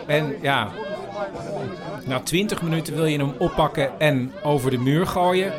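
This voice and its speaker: A man's voice: fairly high pitch, a little slow. A man narrating an audio report as podcast host, in a wry, hesitant storytelling way. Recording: outdoor crowd and music behind. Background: football crowd, music.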